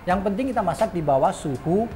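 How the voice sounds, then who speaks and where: Middle-aged chef explaining with filler-laced tags. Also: male voice, sits high, lively intonation, quick pace, warm tone. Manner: explanatory, tag questions, frequent "eh" fillers. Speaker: chef and show host. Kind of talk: casual cooking demo chat.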